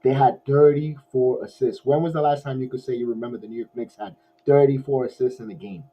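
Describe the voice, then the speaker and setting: Hoarse man, husky and lo-fi. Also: mid-range voice, fairly quick. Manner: rambling, many restarts, excited fan. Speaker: podcast host. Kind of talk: casual post-game sports talk. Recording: dull lo-fi audio.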